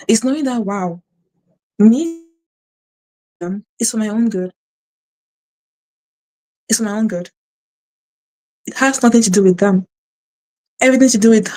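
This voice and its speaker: A woman host who talks fast with a stutter and many restarts, giving earnest faith-based advice to her audience. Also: mid-range voice, warm tone, poor audio. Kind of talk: casual spiritual advice to camera.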